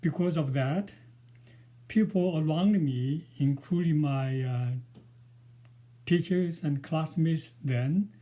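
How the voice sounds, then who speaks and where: A man in his sixties with a Chinese accent, Mandarin his first language, introduces his book before reading from it. He speaks very slowly and haltingly, with many pauses. His voice is mellow and sits a little high for a man. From China. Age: 60s. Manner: hesitant and careful, with frequent pauses and fillers. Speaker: author.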